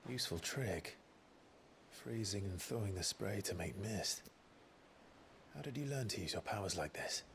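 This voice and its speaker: A steady, protective warrior from a video game speaks low and quietly, measured and calm. His voice is very deep. Clear ambient noise surrounds him. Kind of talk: video game cutscene acting.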